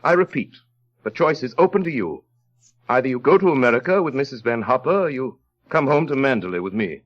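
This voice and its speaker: Actor as a brusque, sardonic gentleman, vintage radio. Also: mid-range voice, animated, a little fast, natural voice. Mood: brusque, dry. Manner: clipped, imperious, dryly teasing. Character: brusque, sardonic, well-to-do gentleman proposing marriage. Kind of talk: 1930s radio drama acting. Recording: dull, lo-fi vintage radio recording.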